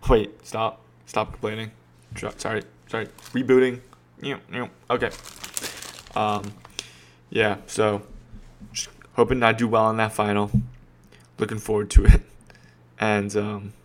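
A young man hosting a casual solo podcast, rambling and self-deprecating, full of slang. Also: on the low side. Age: young adult. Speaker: podcast host.

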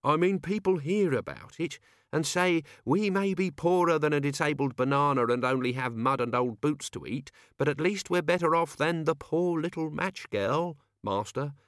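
A man acts out a nervous, exasperated manservant in a storybook. The voice is pushed higher, deferential yet grumbling, always pleading with his master. The recording is dry and close. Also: moderate pace, no echo, close to an everyday voice. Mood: desperate pleading. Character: Albert, anxious, grumbling male servant of Death.

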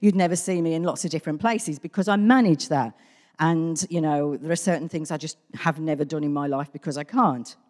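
A middle-aged woman with a hoarse, gruff voice, candidly telling her personal story to a church. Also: low voice, a little fast, a little mellow. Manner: candid, conversational, self-deprecating. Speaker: church member giving testimony. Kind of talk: personal testimony. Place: large church hall. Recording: church hall with long reverb.

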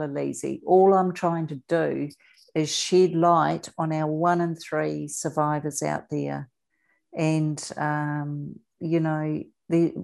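A woman with a New Zealand accent speaking earnestly as an interview guest, deliberate and emphatic, repeating words for weight. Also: low-pitched, vocal fry, a little mellow. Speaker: interview guest, author and abuse survivor advocate.

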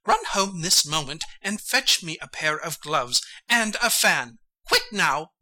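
A fussy, bossy rabbit, played by an actor, with a very sharp, forceful voice that swoops up and down. It scolds and gives orders in an irritable, imperious way, in audiobook storytelling style. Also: medium pitch, animated, unhurried, rough recording. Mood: angry, impatient. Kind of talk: audiobook character acting.

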